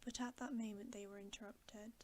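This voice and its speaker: A woman reading a bedtime story aloud in ASMR style, softly and deliberately, often in a whisper, close to the microphone. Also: medium pitch. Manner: soft, hushed, often whispering, slow and deliberate. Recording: steady background hiss.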